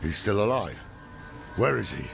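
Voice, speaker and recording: An actor playing a weary, stubborn old scholar in a fantasy game, terse and heavy, with a gravelly voice. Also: male voice, big pitch swings, normal speed, slight background noise. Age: older. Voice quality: very deep, gravelly. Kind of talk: cinematic fantasy game acting.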